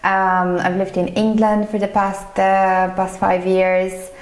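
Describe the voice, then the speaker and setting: A woman's voice: medium pitch, monotone, slow pace. A woman from Bulgaria answering questions as an interview guest, in a thoughtful, hesitant conversational way. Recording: echoey, reverberant room sound.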